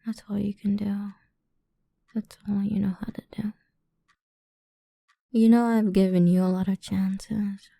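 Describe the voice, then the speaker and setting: A woman playing a cruel, condescending female boss in an ASMR roleplay, speaking deliberately and often slipping into a whisper close to the microphone. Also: mid-range voice, flat delivery, mellow, no echo. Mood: scornful, cold. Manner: condescending, deliberate, drawn-out delivery with breathy whispers. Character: cruel, domineering female boss toying with an employee. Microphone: close microphone, dry.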